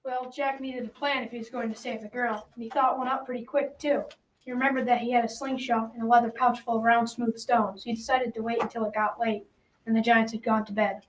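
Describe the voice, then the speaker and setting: A woman's voice: fairly high pitch, level tone, fairly quick. Teenage girl narrating, noisy recording. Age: young teen. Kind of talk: scripted folktale narration for school radio drama. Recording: noisy, distant mic with clicks.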